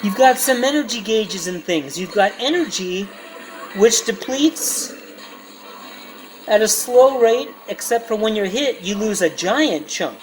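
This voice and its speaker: A man with a high voice who gives a comedic retro game review in an excitable, emphatic, jokey way. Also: unhurried, faint music behind, poor audio.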